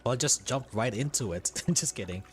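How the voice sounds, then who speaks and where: A man with a Filipino accent and a mid-pitched voice streams a game. He comments casually and talks to viewers, often rambling and repeating himself, with faint game music behind him. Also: animated, moderate pace. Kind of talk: casual live gameplay commentary, chatting with viewers.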